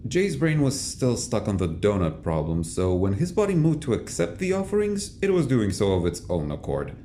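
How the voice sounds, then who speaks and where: A man's voice: fairly low pitch, huge pitch swings, moderate pace, warm tone, slight background noise. A man reading scripted third-person narration for an audio drama, as a storyteller. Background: music.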